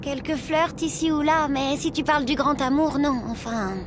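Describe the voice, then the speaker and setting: A woman playing a talkative, ambitious sixteen-year-old schoolgirl in an animated series, speaking emphatically and colloquially. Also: high voice, normal speed, noisy background, natural voice. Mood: amused, confiding.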